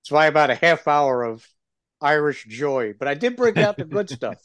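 A man's voice: on the high side, very animated, moderate pace, a bit bright, dry recording. Stuffy-voiced male podcast host. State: stuffy, froggy from cold medicine. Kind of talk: casual interview chat.

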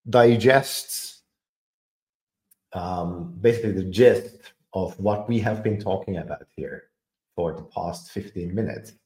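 A man hosting a podcast, talking through a technical topic in a casual, halting way, full of fillers and repeated words. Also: sits low, darkish tone. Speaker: podcast host. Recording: echoey room.

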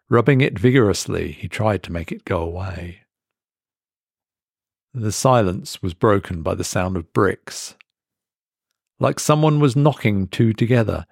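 A man reading a scripted horror story as narrator, with a measured, dramatic delivery in short weighted phrases. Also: fairly low pitch, creaky, a little mellow, no room sound, studio quality. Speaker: story reader.

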